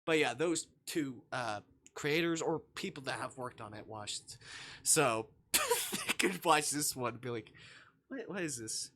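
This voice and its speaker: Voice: medium pitch, sing-song, unhurried, sharp tone. A streamer chatting casually and reading a visual novel aloud, rambling, jokey and easily sidetracked.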